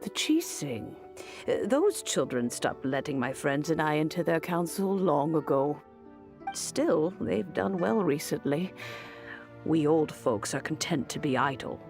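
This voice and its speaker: A woman voicing a kindly, wise old granny in a video game, with measured, old-fashioned phrasing and a creaky elderly voice. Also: deep voice, lively intonation. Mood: amused, gentle. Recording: game audio with faint music behind.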